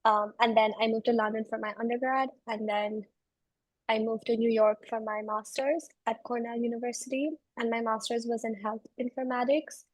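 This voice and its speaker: A young woman from Belgium speaks as a guest in an interview. Her voice is on the high side, and she explains things in a conversational way. The sound is very dry, with no room echo. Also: level tone, moderate pace. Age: 20s. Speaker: interview guest, research analyst.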